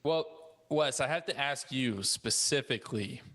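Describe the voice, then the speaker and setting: Bright-toned male podcast moderator. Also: mid-range voice, a little slow. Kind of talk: casual roundtable chat.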